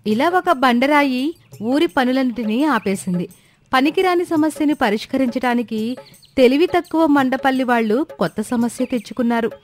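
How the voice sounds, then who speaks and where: A woman's voice: sits high, lively intonation, normal speed. A woman reading a scripted moral story as its narrator. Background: music.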